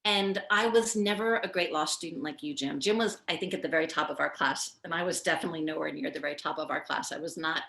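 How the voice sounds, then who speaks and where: A middle-aged woman producer talks in a chatty, quick way, with laughing asides. Her voice sits a little low. It sounds roomy, picked up by a far camera in her living room. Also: gravelly, a bit bright. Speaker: film producer, guest. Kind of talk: casual conversation. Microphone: video call, far camera.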